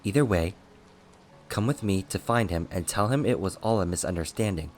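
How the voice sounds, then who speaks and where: A man's voice: sits low, expressive, normal speed, soft background music. A man voicing an easygoing young prince in an audiobook, earnest and trying to pacify those around him. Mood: placating.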